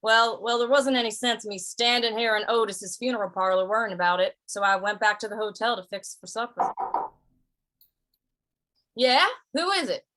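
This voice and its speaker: A woman's voice: on the high side, moderate pace, a bit bright, close to an everyday voice. A woman playing a modest, easily flustered cowboy in an old-time radio western, stammering and folksy. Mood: bewildered, musing. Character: mild, flustered, modest cowboy Britt, a man.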